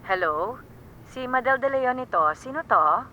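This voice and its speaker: A middle-aged Filipino mother on the phone in a radio drama. She sounds concerned and motherly, with a sharp, bright tone and some line noise. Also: high voice, expressive, unhurried, full character voice, poor audio. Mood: concerned, tender.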